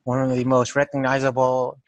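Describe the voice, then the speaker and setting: A man with a Filipino accent hosting a relaxed art history lesson, asking his guest questions and laughing easily. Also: mid-range voice, a little fast, dry recording. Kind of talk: casual classroom discussion.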